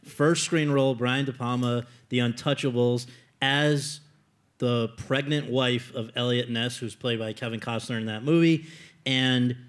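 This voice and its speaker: A man hosting an on-stage interview, asking long, thoughtful questions with hesitant restarts. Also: medium pitch. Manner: thoughtful, quotes back the guest's words, hesitant restarts. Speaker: interviewer, festival talk host.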